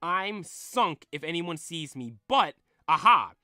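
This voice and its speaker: Eager boy trainer read by a man, lively, bright tone, dry sound. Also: fairly high pitch, slow, no echo, exaggerated voice.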